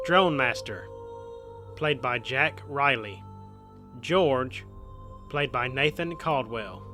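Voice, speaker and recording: A man's voice: medium pitch, sharp tone. A man reading scripted end credits as the announcer of an audio production.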